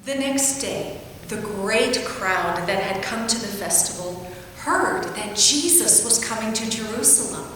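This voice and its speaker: A woman's voice: a little high, expressive, unhurried. A woman giving a formal reading from the Bible. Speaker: scripture reader. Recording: very echoey large hall, distant, faint noise.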